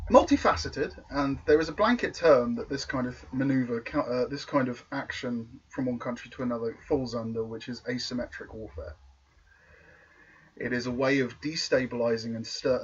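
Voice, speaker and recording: A man's voice: mid-range voice, breathy edge. A man co-hosting a podcast, explaining things in a casual, opinionated and sweary way. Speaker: podcast co-host. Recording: distant mic, dull lo-fi, faint noise.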